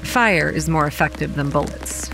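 A woman's voice: deep voice, normal speed, creaky, low-quality recording. A young woman tells a horror story in the first person, as a campground manager on edge. Her delivery is wry and given to sardonic understatement, with music behind her. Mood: wry, dry. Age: young adult. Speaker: narrator and lead character. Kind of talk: first-person horror audio drama narration. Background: rain and music.

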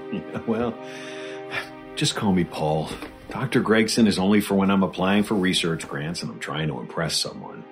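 A low-pitched, awkward male researcher over faint music. He is earnest and apologetic, fumbling through his words in naturalistic radio drama style. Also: lively intonation, moderate pace. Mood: sheepish, eager. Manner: hesitant, fumbling, conciliatory. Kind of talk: naturalistic audio drama acting.

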